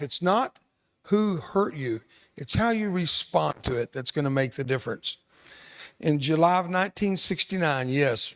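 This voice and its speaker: An older man from South Texas, a Spanish speaker from childhood, delivers an emphatic motivational talk to an audience. His voice sits a little high, and he drives his lessons home by repeating them. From South Texas, USA. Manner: emphatic, repeats key lines, addresses audience directly. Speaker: veteran speaker, resiliency trainer. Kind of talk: motivational speech.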